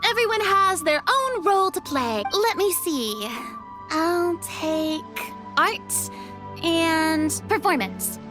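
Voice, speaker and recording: A woman's voice: very high voice, expressive, slow, music in the background, exaggerated voice. A woman playing an earnest, self-doubting young theatrical woman in a video game, speaking hesitantly and expressively. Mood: thoughtful.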